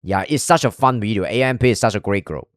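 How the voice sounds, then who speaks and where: A man's voice: on the low side, fast, no room sound, clean studio sound. A man with a Chinese accent, chatting casually as a streamer while reacting to a video. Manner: chatty, loose, thinks out loud.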